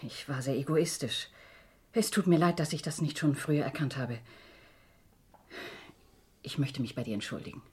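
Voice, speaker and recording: A woman's voice: rumbling low, moderate pace, pauses often, breathy edge, exaggerated voice. An actress playing an anxious, insistent mother in a German radio crime drama, speaking with earnest emotion. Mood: remorseful. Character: anxious, insistent mother of a twelve-year-old girl.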